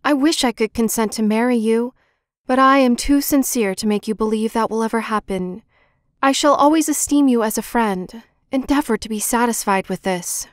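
A woman's voice: fairly high pitch, normal speed, no echo, clean studio sound, close to an everyday voice. A woman reading aloud and voicing a sincere, kind, timid young woman in a storybook. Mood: earnest.

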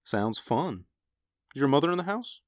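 A man's voice: fairly high pitch, big pitch swings, moderate pace, no room sound, clean studio sound. An actor playing an easygoing, affectionate husband and father in a radio drama. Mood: genial.

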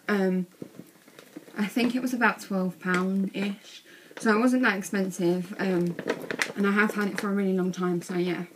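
A teenage girl, chatting casually in a vlog from her bedroom, a bit croaky from being ill, with lots of ums. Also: medium pitch, background noise. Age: teens. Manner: chatty, rambling with many ums. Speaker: vlogger. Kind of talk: casual vlog showing bag contents. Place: small bedroom indoors.

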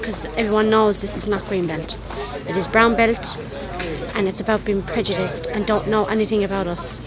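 A woman in her thirties speaking emphatically in an outdoor interview, repeating phrases as she goes. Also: mid-range voice. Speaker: resident interviewee. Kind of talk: spontaneous interview talk. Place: outdoors at night. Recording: outdoor crowd noise behind.

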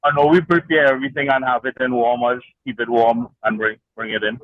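A man from Trinidad and Tobago, a market vendor, explaining his products in a relaxed, plain way at an outdoor stall. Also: medium pitch, fairly quick, dry recording, phone-quality sound. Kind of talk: casual interview over a video link.

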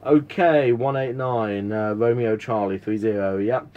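A man's voice: medium pitch, fairly even intonation, unhurried, highs cut off, a little hiss or hum. A man with a southern English accent making casual CB radio contact, friendly and repeating details as an operator. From United Kingdom. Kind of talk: casual radio contact with call signs and spelling. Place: indoors, at a home radio setup.

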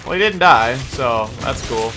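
A man's voice: medium pitch, lively intonation, a little slow, noisy background. A man giving casual, profane, self-deprecating commentary while playing a shooter game. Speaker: gaming streamer playing a shooter. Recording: game music and gunfire behind voice. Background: shooter game music and gunfire.

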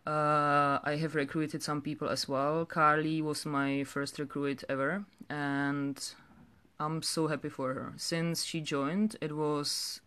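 A young woman with a Czech accent tells her personal story off the cuff, halting and repeating words. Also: deep voice, little pitch movement, fairly bright tone, clear, resonant tone. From Czech Republic. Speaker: direct sales director telling her story. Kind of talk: unscripted personal story to camera. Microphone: phone or webcam held close.

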